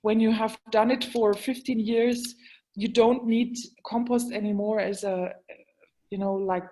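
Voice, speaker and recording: A woman's voice: on the high side, steady tone, a little slow, mellow, a little hiss or hum, low-quality recording. Woman talking english in an interview, lots of ums, hunting for words. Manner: hesitant, many fillers, searching for words. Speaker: guest, wine expert. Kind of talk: casual interview talk.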